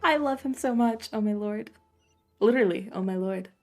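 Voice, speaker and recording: A woman's voice: medium pitch, moderate pace, soft background music. A woman live streamer giving casual, gushing and playful commentary as she plays a game.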